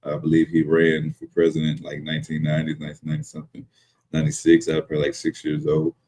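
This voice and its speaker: A man in his thirties hosts a live podcast in an extremely deep, husky voice. He speaks politely and hesitantly, searching for his words as he goes. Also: monotone, fast talker, darkish tone. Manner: polite, hesitant, many fillers and restarts. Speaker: podcast host. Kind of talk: casual live podcast talk.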